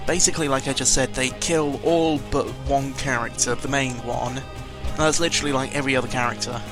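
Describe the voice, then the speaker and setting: Male gaming vlogger, mid-pitched, loose off-the-cuff delivery, hesitant and grumbly, clear music underneath. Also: steady tone, a little fast. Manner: hesitant, meandering, opinionated grumbling with mild swearing. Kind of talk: rambling casual audio log over gameplay.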